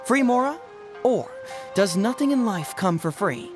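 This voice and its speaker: A woman's voice: mid-range voice, sing-song, a little slow, over music. A woman voicing a cocky, clever young male detective in a game, glib and playful. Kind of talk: game cutscene voice acting.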